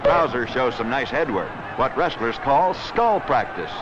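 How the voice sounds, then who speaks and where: A man's voice: medium pitch, very animated, a little slow, lo-fi sound. Noisy old newsreel soundtrack, guy doing wisecracking 1940s wrestling commentary, voice swoops all over. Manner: wisecracking, theatrical, slangy.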